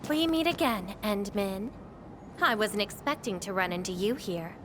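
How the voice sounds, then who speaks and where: A woman's voice: medium pitch, lively intonation, normal speed, background noise, natural voice. Poised teasing fire-wielding young woman, voiced by an actress. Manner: poised, teasing, self-assured. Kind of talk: game dialogue. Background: game music.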